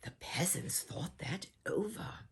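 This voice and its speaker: A woman performing a children's storybook in a theatrical, dramatic way, with hushed asides and doting warmth toward her listeners. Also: fairly low pitch, animated, slow, slightly rough. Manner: addresses listeners with doting endearments. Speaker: storybook reader and host.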